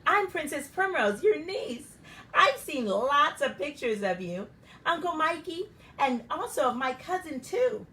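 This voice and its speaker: A woman's voice: high voice, big pitch swings, a little slow, pushed and bright, recorded from a distance, pitched above the performer's own voice, exaggerated voice. A woman voicing a cheerful, polite little girl princess in a storybook reading, sweet and wide-eyed. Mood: eager.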